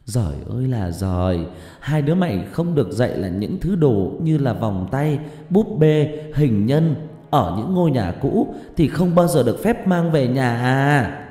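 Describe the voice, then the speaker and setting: A man's voice: animated, moderate pace, mellow, natural voice. A man voicing an angry, superstitious older woman who scolds her son and daughter-in-law. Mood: scolding. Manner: scolding, shrill outbursts. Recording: roomy hall reverb, background music.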